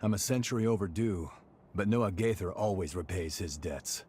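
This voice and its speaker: An actor voicing a brash, loyal fighter in a video game, talking in a cocky, slangy way. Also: male voice, low-pitched, moderate pace, darkish tone, a little hiss or hum, close to an everyday voice. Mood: cocky, eager. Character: brash, loyal century-old male fighter, rough-talking adventurer. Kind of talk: video game cutscene acting.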